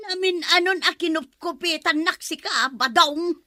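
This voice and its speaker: A woman's voice: very high-pitched, moderate pace, sharp tone, no room sound, clean studio sound. A woman playing a nagging, suspicious village wife in a comedy radio drama. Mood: scolding.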